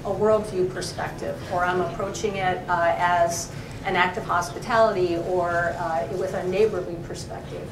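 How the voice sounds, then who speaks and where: A woman's voice: lowish voice, steady tone, normal speed. Woman professor, distant in echoey room. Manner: thoughtful, explains terms, frequent ums. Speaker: professor, co-editor presenting a journal issue. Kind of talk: academic talk to a library audience. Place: library event room. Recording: echoey room, far mic, noisy.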